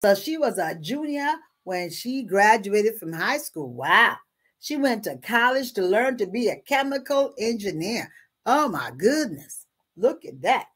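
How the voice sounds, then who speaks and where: A woman playing a folksy, exuberant churchgoing storyteller with an American accent, reading to children with husky, exclamatory asides. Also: medium pitch, big pitch swings, unhurried, a bit bright, exaggerated voice. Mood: encouraging, admiring. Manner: exclamatory, folksy, repeats interjections. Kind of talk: dramatic read-aloud with chatty asides. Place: small room at home.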